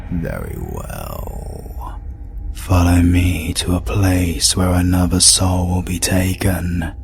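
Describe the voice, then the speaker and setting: Man acting a very deep, gravelly British spirit. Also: soft background music, heavily acted. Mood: ominous, solemn. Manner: slow, deliberate, weighty. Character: solemn, menacing ghostly spirit guide, grieving father of villainous repute. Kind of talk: audio drama acting.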